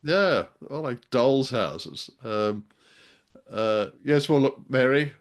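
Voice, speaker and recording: A man's voice: mid-range voice, animated, slow. An older man co-hosting a casual podcast chat, with a wry, joking and rather hesitant delivery. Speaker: podcast co-host. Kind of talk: casual chat reviewing an old radio drama.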